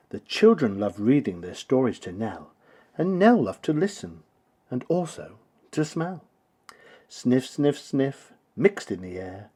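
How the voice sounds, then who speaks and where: A man reading a rhyming children's story aloud with theatrical, rhythmic, expressive delivery. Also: mid-range voice, breathy edge. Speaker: storybook reader.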